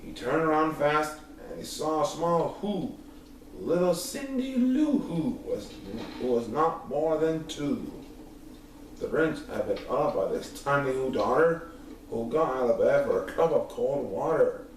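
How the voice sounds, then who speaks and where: A man reading a rhyming children's story aloud as an expressive narrator. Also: on the high side, a little slow. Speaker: storybook reader. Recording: very echoey room, distant mic, faint noise.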